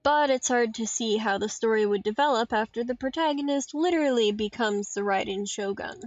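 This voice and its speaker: Higher-pitched woman reading aloud, slightly dull audio. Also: brisk. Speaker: game streamer. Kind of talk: reading game dialogue aloud on a livestream. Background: faint game music.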